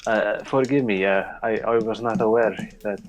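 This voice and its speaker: A man's voice: on the low side, fairly even intonation, moderate pace, close to an everyday voice. A man putting on an exaggerated Icelandic accent to voice an overly polite, fumbling local man. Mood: apologetic. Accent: put-on Icelandic. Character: apologetic local man shrunk to tiny size.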